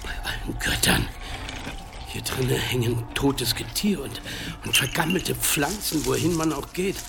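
A man's voice: mid-range voice, expressive, unhurried, gravelly, background noise. A man playing a wary but reasonable village headman in a radio drama, speaking with some hesitation and skepticism. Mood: disgusted. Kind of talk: German radio drama acting.

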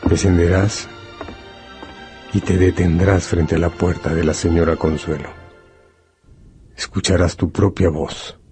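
Radio drama narrator: low-voiced, brooding, ominous literary storyteller, deliberate, faint music underneath. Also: male voice, flat delivery, a little mellow. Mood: solemn, ominous. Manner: solemn, deliberate.

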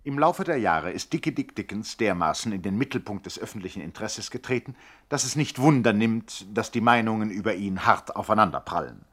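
A man's voice: on the low side, expressive, fairly quick. Mock-solemn male radio play narrator.

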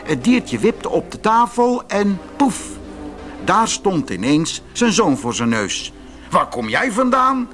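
A man reading a fairy tale for children in an expressive narrating style. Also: sits high, takes it slowly, faint music behind.

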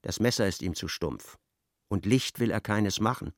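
A man's voice: on the low side, lively intonation, normal speed, no room sound, clean studio sound. A man acting as the detached narrator of a grim literary radio drama, telling it in a matter-of-fact way.

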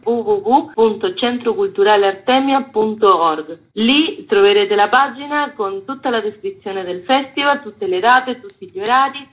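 A woman's voice: medium pitch, normal speed, few pauses, dull audio. A woman who organises theatre events, explaining things as a guest in a radio interview.

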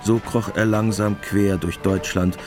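A man's voice: on the low side, level tone, measured pace. A man narrating a scripted radio drama. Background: music.

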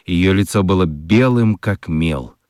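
A man's voice: low voice, expressive, unhurried, a little mellow, no room sound, studio quality. A man narrating a children's radio play expressively from a script.

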